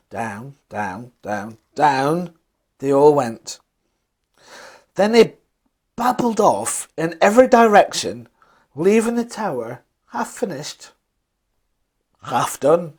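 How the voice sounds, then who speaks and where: Guy reading kids a bedtime story, super expressive, slow w big dramatic pauses, kinda husky voice. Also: a little high. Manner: dramatic pauses, emphatic repetition, questions to listeners. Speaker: children's storyteller. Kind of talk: animated bedtime story narration for children.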